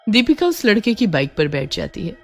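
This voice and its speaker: A woman's voice: medium pitch, very animated, a little fast, creaky. Casting: female narrator, scripted horror tales, mid-range voice, light music bed.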